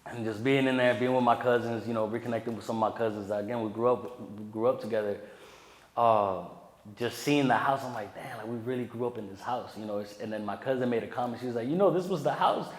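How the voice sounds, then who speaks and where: Man from puerto rico, podcast guest, casual chat, loose and easygoing, roomy reverberant space, medium pitch. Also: quick pace. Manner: laid-back, many "you know" fillers. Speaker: podcast guest, tax preparer. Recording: roomy echoey hall.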